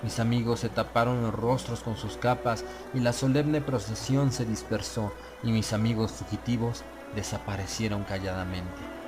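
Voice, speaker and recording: A man reading a gothic horror audiobook in a solemn, deliberate and dramatic manner. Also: fairly low pitch, steady tone. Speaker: audiobook narrator. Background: music.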